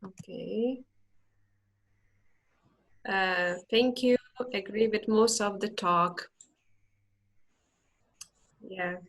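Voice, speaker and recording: A woman moderating an online meetup over a video call, hosting questions in an unhurried, hesitant way. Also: medium pitch, fairly even intonation, a bit bright, poor audio.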